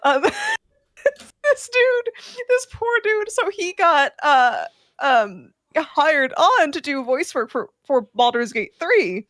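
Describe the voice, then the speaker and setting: A woman's voice: sits high, sing-song, slow, a bit bright, no echo, studio quality. A woman casually telling anecdotes in a hesitant, meandering way.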